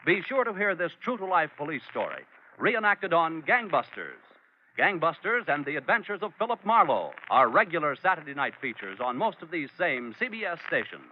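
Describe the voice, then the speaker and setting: Male 1940s American radio announcer: lively swings in pitch, scripted promo read, slightly dull vintage sound. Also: normal speed. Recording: dull, faintly noisy vintage radio recording.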